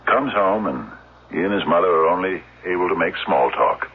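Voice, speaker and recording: An actor playing a brooding, unstable grown son in a 1970s radio drama, halting, theatrical and needling. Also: male voice, sits low, lively intonation, slight background noise. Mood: brooding, bitter. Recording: dull lo-fi old radio recording.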